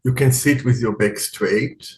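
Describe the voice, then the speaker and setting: Very slow-talking man guiding meditation. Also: medium pitch. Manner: calm, unhurried, with long pauses between short phrases. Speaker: meditation teacher. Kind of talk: guided meditation instruction.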